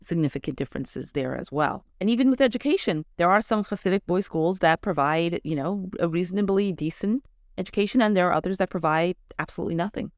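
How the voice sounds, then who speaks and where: A woman's voice: on the low side, fast talker, dry recording. Middle-aged North American woman, dry sound. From Canada. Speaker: interview guest, education advocate. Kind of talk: conversational personal account.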